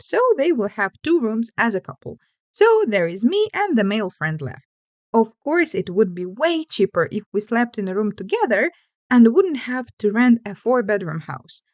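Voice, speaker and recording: A woman hosting and reading online stories aloud in an expressive, playful way with casual asides. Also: a little high, dry recording, lo-fi sound.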